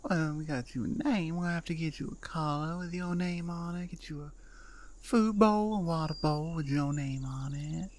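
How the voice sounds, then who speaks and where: A woman voicing a gentle cow-woman farmer with a Southern US accent, talking softly and slowly in an ASMR roleplay. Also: low voice. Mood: soothing. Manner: soft, slow, soothing drawl.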